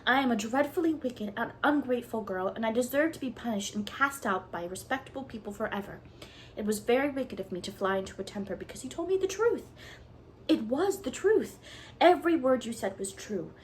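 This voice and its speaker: A woman's voice: medium pitch, lively intonation, fairly quick, a little hiss or hum, lightly acted, poor audio. A woman voicing a talkative, imaginative orphan girl who pleads in a theatrical, breathless way. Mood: pleading.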